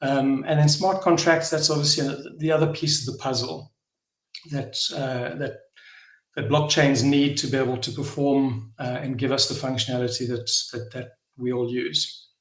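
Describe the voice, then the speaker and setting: Echoey room recording, middle-aged man, South African accent, medium pitch, hesitant earnest delivery, informal founder's address. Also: steady tone. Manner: hesitant, earnest, pausing and repeating words. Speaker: company founder addressing a community. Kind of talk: informal unscripted address to investors.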